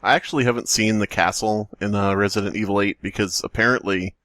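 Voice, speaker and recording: A man's voice: fairly low pitch, steady tone, fast talker, fairly bright tone, dry recording. A man co-hosting a podcast in a casual, joking chat about games. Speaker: podcast co-host.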